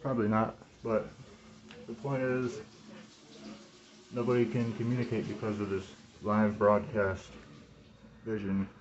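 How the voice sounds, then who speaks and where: A man in his thirties in a rambling, halting monologue, repeating words and phrases, recorded on a phone indoors through a cloth mask. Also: on the low side, level tone, muffled recording. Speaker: vlogger talking to camera. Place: small room indoors. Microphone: phone at arm's length, speaking through cloth mask.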